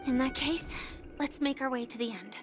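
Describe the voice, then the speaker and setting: An actress playing an earnest, gentle young companion in a video game, friendly and encouraging. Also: female voice, high voice, normal speed, over music, lightly acted.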